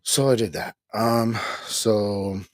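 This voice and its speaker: A man's voice: on the low side, steady tone, takes it slowly, no echo. A tired man hosting an online ministry show, telling a candid, rambling personal story from home with frequent laughs. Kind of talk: casual personal testimony.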